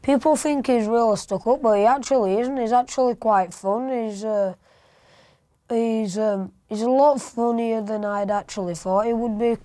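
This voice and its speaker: A polite, earnest twelve-year-old boy with a Northern English accent, talking a bit haltingly. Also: sits high, dark tone, no room sound.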